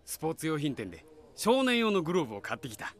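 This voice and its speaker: A man's voice: fairly high pitch, huge pitch swings, fast, exaggerated voice. A man playing a kindly, wistful middle-aged family man in a Japanese voice drama, both narrating reflectively and talking in dialogue. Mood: chummy. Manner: reflective storytelling alternating with hearty, coaxing dialogue.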